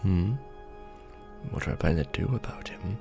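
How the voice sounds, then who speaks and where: A man playing a courtly, conflicted vampire lord in a romantic ASMR fantasy roleplay, speaking formally and with measured care. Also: music in the background. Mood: torn, grave. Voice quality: low, creaky. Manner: formal, measured, hesitant when tender. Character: courtly, conflicted vampire lord, tender toward his beloved.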